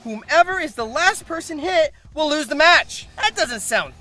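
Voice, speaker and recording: A man's voice: very high-pitched, animated, moderate pace, pushed and bright, soft background music. A man hosting a scripted comedic review, narrating theatrically with exaggerated emphasis. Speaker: host.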